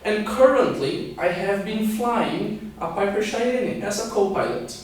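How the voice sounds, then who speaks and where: A young man with a high voice presents a lesson as a teacher. He explains things in an instructional way, often adding a checking okay. The sound is heavily reverberant, picked up at a distance from the microphone. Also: measured pace. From born in Scotland, raised partly in Brazil. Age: 20s. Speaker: English teacher and pilot giving a lesson. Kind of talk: instructional video lesson to camera. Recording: very echoey room, distant microphone.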